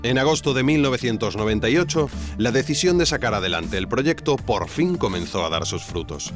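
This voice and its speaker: A man's voice: medium pitch, lively intonation, fairly quick, lightly acted. A man playing a wry investigative narrator, reading scripted documentary narration in an audio drama. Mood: wry storytelling. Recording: faint music bed.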